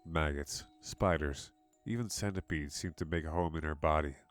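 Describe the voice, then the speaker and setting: A man's voice: extremely low pitch, normal speed. A man giving a dramatic scripted narration of a horror story. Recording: music behind the voice.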